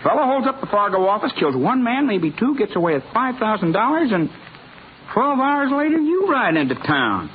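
High-voiced, talkative Old West blacksmith. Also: male voice, sing-song, normal speed. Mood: gossipy. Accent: American. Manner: folksy, garrulous, rustic Western drawl and grammar. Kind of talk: 1950s radio western acting. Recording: tinny, noisy 1950s radio recording.

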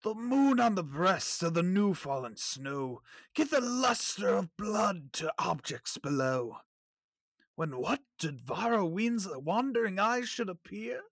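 Man as storybook-telling dad, audio drama, high-pitched, unhurried, grandly dramatic, playful rhyming delivery. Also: big pitch swings, gravelly, fairly bright tone, no room sound, exaggerated voice. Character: theatrical father telling children a mock-classic holiday tale.

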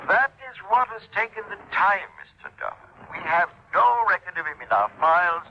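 An actor playing a polite, apologetic Turkish chief inspector in a 1950s radio drama, speaking formally as if over a radio phone call. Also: male voice, high voice, very animated, fairly quick. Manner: formal, courteous, official. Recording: muffled noisy 1950s radio recording.

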